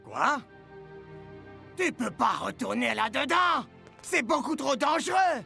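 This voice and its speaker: An excitable young footballer from a children's cartoon. The voice sits very high with a gravelly edge and comes out in yelps and exclamations. Loud music plays under the dialogue. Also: male voice, slow pace, exaggerated voice. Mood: frantic. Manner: high-pitched, yelping and exclaiming. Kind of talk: French cartoon dubbing.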